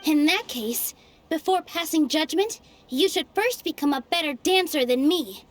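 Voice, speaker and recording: A woman's voice: sits high, animated, moderate pace, soft background music. A woman voicing an earnest young female dancer who argues passionately for her theater. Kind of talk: game voice acting.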